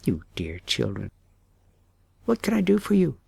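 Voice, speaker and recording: A man's voice: mid-range voice, wildly swooping pitch, measured pace, creaky, darkish tone, breathy edge, no room sound, clean studio sound, exaggerated voice. A man voicing a motherly, modest lady lark in a storybook, easily a little offended. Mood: kindly. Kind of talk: storybook character voice.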